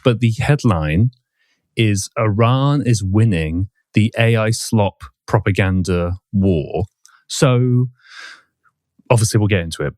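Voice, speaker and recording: Hoarse low-voiced male podcast host. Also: a little mellow, no echo, clean studio sound. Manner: brisk, upbeat host reading intros and steering. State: hoarse from poor sleep. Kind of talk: casual podcast chat.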